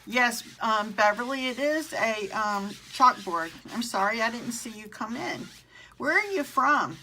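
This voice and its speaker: A Southern American woman talks her viewers through a craft project in an easy, chatty way and stops to answer their comments. Her voice is bright and forceful, set against a faint hiss. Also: a little high, animated, a little slow, low-quality recording. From southern United States. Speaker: craft demonstrator. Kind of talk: casual live craft tutorial.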